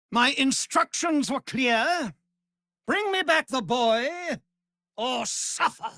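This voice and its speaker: An actor playing a cruel, imperious aristocratic vampire master in a video game, speaking with theatrical contempt and menace, savoring every word. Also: male voice, sits high, a bit bright, no room sound, clean studio sound, exaggerated voice. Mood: commanding, threatening. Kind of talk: dramatic video game villain acting.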